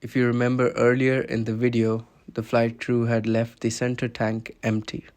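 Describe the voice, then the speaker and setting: A man's voice: medium pitch, a little mellow. A man narrating a scripted documentary, explaining events step by step.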